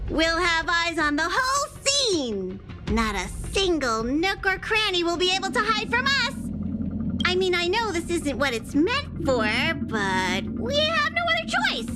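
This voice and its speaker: A woman voicing a small, fussy, childlike creature who works as a train conductor in a video game, squeaky, bossy and excitable. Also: exaggerated voice. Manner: bossy, excitable, refers to self in third person. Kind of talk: video game character acting. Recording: loud music behind. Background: loud music.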